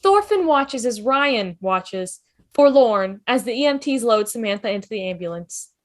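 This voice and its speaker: A woman's voice: fairly high pitch, lively intonation, moderate pace. A woman reading stage directions as scripted narration in a fan table read.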